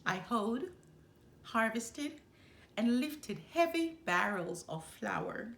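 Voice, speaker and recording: Slow, expressive woman storyteller in echoey room. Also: on the high side, gravelly. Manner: deliberate, dramatic phrasing with pauses. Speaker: storytime host reading a children's book aloud. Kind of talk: expressive first-person children's storybook narration. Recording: roomy, echoing room.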